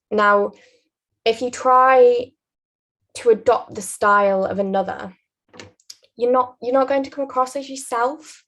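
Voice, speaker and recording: A young woman with a Yorkshire accent giving earnest, measured advice as an interview guest. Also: medium pitch. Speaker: guest, aspiring barrister.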